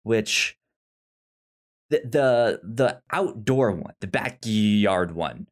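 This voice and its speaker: A podcast co-host chatting casually about film in a halting way, often repeating and stammering on words. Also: medium pitch, lively intonation.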